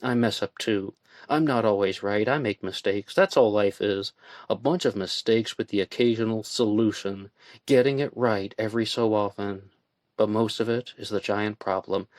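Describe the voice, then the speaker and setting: A woman reading as a tired, steady man. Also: a bass voice, normal speed, no echo. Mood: weary. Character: weary, worn-down man, steady and firm.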